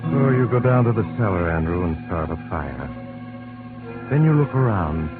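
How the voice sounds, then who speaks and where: Very deep, ominous old-radio narrator. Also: male voice, very animated. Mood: sinister. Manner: sinister, knowing, deliberate second-person address. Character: ominous male narrator, an insinuating inner voice. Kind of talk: 1950s radio suspense narration. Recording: vintage radio, narrow band, music underneath.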